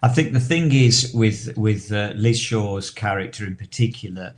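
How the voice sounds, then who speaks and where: A man co-hosting a podcast in casual discussion, talking wordily and haltingly as he repeats and rephrases himself. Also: on the low side. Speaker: podcast co-host.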